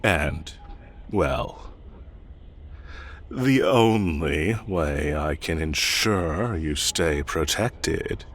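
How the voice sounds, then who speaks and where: A man's voice: extremely low pitch, lively intonation, a bit bright, husky, heavily acted. A man playing a smug, possessive and menacing fae king in roleplay audio, theatrical and condescending as he speaks directly to the listener. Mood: smug coaxing. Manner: theatrical, condescending, slow deliberate coaxing.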